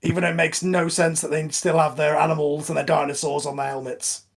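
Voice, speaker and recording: Male podcast commentator, slightly high-pitched, casual watch-along delivery. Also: level tone, a little fast.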